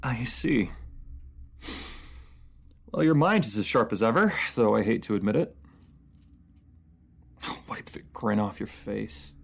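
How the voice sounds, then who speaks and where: Man acting a gravelly, sardonic captive fantasy ruler. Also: fairly low pitch. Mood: defensive, grudging. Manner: sardonic, formal, haughty phrasing with taunting pauses. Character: proud captive enemy ruler, sardonic, bitter, secretly admiring. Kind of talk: dramatic fantasy audio roleplay acting.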